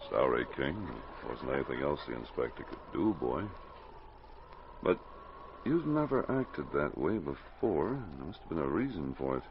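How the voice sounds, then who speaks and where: An actor playing a kindly, steady police sergeant in 1940s radio drama, firm and earnest. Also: male voice, rumbling low, normal speed, creaky, exaggerated voice. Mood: earnest, consoling. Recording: muffled, noisy 1940s radio recording.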